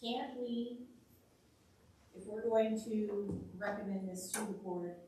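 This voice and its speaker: A woman on a township zoning commission who leads the discussion, chatty and thinking aloud with fillers, with a loud voice. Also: medium pitch, slow pace. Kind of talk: public meeting discussion. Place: township meeting room. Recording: echoey meeting room from a distant mic.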